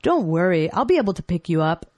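Low-pitched, helpful woman acted by a woman. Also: sing-song, fast, a little mellow. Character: helpful woman planning an airport trip. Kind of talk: scripted lesson dialogue.

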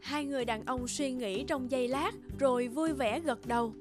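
High-voiced woman narrating a fairy tale. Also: normal speed. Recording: music behind the voice. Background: music.

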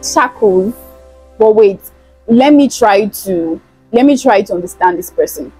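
A woman's voice: mid-range voice, animated, a little slow, over music, low-quality recording. A young woman, hosting a chatty gossip vlog in a playful, teasing way on a lapel mic in an indoor studio. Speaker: host. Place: indoor studio, plain backdrop.

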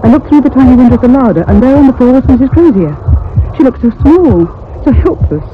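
High-voiced, troubled radio horror narrator. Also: male voice, animated, quick pace. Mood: urgent, frightened. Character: troubled, sensitive narrator haunted by a child ghost. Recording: tinny, narrow old radio recording with hum.

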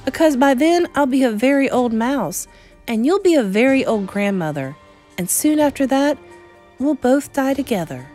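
An excitable young mouse boy from a children's storybook, acted out by a woman. The voice sits high and swings about in pitch with earnest, inquisitive energy. Faint music plays behind it. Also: moderate pace.

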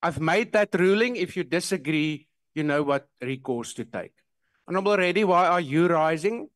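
A man's voice: on the high side, lively intonation, normal speed, a bit bright, no echo. A man chairing a parliamentary debate, firmly restating his rulings and calling members to order. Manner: firm, authoritative, repeats rulings. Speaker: presiding officer chairing a session. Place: large parliamentary chamber, indoors. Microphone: desk microphone.

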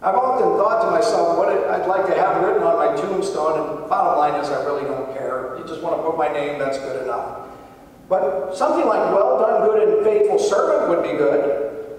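A man's voice: on the high side, lively intonation, moderate pace, a little mellow. A middle-aged man preaching a sermon from a church pulpit, earnest and emphatic. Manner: earnest, emphatic preaching with rising and falling intensity. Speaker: pastor. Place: large church sanctuary, at a pulpit. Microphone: far camera, pulpit mic. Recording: echoey church hall from far off.

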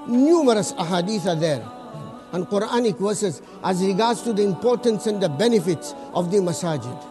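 High-voiced imam preaching earnestly. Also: male voice, animated, music in the background. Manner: earnest preaching, Arabic quotations recited quickly, repeats phrases for emphasis. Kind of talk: Friday sermon.

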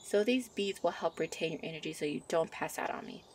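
A woman voicing a shy, sweet young fairy in an ASMR roleplay, with a soft, coy, flustered manner. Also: low voice, a little fast, slight background noise, pitched below the performer's own voice, natural voice. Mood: gentle, instructive. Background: birdsong and outdoor ambience.

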